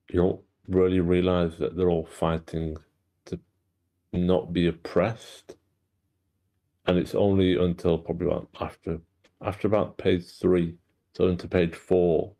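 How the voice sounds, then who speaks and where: A man giving casual feedback in a measured, thoughtful manner. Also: low voice, gravelly, warm tone. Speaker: participant giving script feedback.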